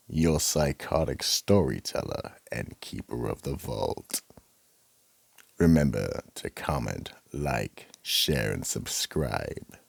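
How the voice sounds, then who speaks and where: A man playing a sinister, menacing horror storyteller with a gravelly, husky voice, narrating a radio drama theatrically. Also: extremely low pitch, a little mellow, no room sound, exaggerated voice. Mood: sly, menacing. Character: sinister psychotic horror storyteller, keeper of the vault. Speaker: host and narrator.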